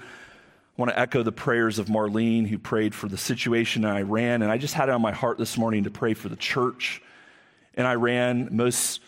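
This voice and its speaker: A male pastor with a booming voice, preaching a sermon and leading prayer in a church on a live microphone, earnest and repeating key phrases for emphasis. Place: church sanctuary. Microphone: live amplified microphone.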